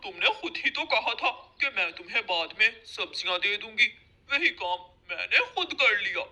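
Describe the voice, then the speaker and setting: A lazy, scheming cartoon crow husband acted out by a woman, with a very bright, hard-edged tone. He grumbles and whines, always justifying himself. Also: medium pitch, lively intonation, moderate pace. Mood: defiant. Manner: whining, self-justifying. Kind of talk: cartoon story voice acting.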